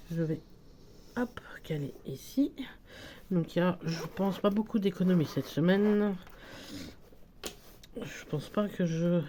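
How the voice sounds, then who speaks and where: Slow, mellow-voiced French woman with a cold. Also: fairly low pitch, a little hiss or hum. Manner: hesitant, many fillers and self-corrections, counts money aloud. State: has a cold. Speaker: budgeting vlogger. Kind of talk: casual talk while filling budget envelopes.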